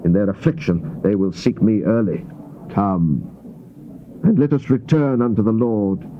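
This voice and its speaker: A man's voice: fairly low pitch, unhurried. Guy reading old bible verses all solemn and dramatic, muffled old cassette w/ some hiss. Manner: solemn, declamatory, theatrical. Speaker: scripture reader. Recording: dull, noisy old cassette tape recording.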